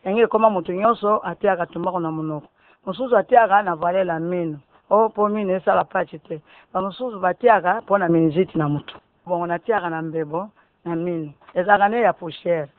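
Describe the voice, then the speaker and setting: A woman, calmly explaining a habit. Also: fairly low pitch, brisk, no room sound. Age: 60s. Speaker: interviewee. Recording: narrow phone-like band.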